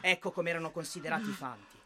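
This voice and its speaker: A man's voice: a little high, animated, quick pace, close to an everyday voice. Anguished, sardonic soldier over crowd noise played by a man. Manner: impassioned, insistent, sardonic. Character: anguished, bitter soldier son back from the war. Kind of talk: theatrical dramatic monologue.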